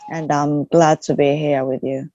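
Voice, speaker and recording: A young woman from Burundi, a student, softly introducing herself in an online meeting. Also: sits low, flat delivery, a little slow, dry recording. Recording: dull dry lo-fi call audio.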